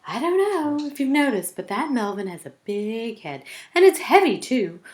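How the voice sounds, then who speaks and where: Woman playing put-upon tooth fairy: small, raised pitch, exasperated, storybook style. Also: very animated, normal speed, darkish tone.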